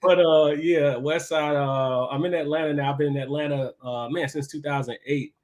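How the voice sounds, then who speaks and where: A man, a guest chef talking about himself in a relaxed, chatty podcast interview. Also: a little high, moderate pace, a little hiss or hum. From Chicago. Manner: laid-back, talkative, easy laughs.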